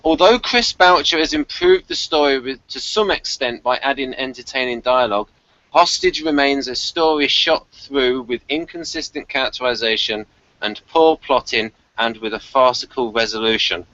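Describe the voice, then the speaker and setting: A man hosting a casual TV review chat, halting and repeating himself as he goes, on a lo-fi home recording. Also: medium pitch, sharp tone, no echo. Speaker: podcast review host.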